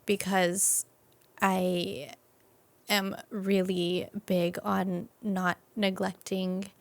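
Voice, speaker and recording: A woman's voice: mid-range voice, level tone, extremely slow, creaky, no echo. A woman, a young adult, talking reflectively and casually as an interview guest.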